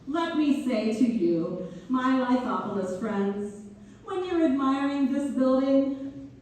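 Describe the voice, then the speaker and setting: A woman playing a gracious, wryly humorous benefactor delivering a measured, playful dedication speech in a staged reading. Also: high voice, animated, darkish tone. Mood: humble, playful. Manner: measured, deliberate, playful oratory. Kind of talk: theatrical read-through of a formal speech. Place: theater hall, live staged reading. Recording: echoey hall from far off, faint noise.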